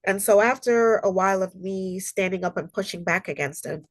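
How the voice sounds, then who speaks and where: A woman's voice: medium pitch, normal speed, dry recording, low-quality recording. A woman who is a human rights consultant gives an online library presentation while getting over a cold, which leaves her a little nasal. She talks earnestly and conversationally, with frequent ums and personal stories. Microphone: video call.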